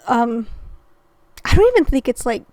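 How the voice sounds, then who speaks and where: A woman's voice: fairly high pitch, sing-song, moderate pace, mellow, dry recording. Rambling, passionate woman, dry close sound. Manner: rambling, self-interrupting, passionate. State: throat-clearing, recovering from illness. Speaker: reaction video host. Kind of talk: casual commentary.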